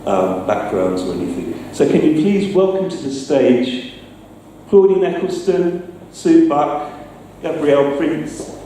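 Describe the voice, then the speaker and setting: Mellow man in a very echoey room. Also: on the high side, expressive, a little slow. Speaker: presenter, sound effects maker. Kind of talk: informal public talk with demonstration. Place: live talk in a reverberant indoor venue. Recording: echoey room, distant mic, faint noise.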